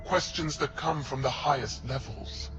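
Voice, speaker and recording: An actor playing a stern, formal, zealous alien officer in a video game cutscene. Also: male voice, mid-range voice, steady tone, unhurried, music in the background, lightly acted. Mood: stern reproach.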